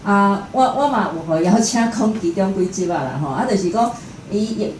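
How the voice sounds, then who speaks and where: A woman's voice: medium pitch, lively intonation, moderate pace, a little mellow. A woman with a Taiwanese accent, hosting and giving an informal introduction at a live talk. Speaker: event host introducing a guest speaker. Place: indoor room at a live talk event. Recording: echoey room with faint noise.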